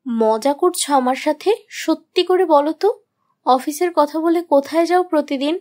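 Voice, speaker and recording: A very high-pitched young wife played by a woman tells her cartoon horror story. She sounds anxious and suspicious of her husband, with dramatic, troubled delivery. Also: normal speed, darkish tone, natural voice. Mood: disbelieving. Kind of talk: first-person scripted horror story narration.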